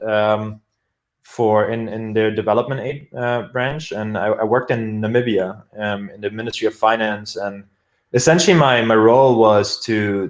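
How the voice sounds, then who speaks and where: Guest: young man with a German accent, low voice, rambling and filler-heavy, echoey room. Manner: rambling, many fillers and restarts. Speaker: guest product manager. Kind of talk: casual interview chat.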